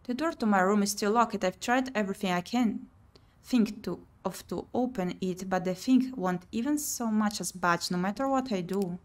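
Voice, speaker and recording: A woman's voice: mid-range voice, moderate pace. A woman streamer playing a visual novel, reading the game text aloud expressively with her own commentary. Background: game music.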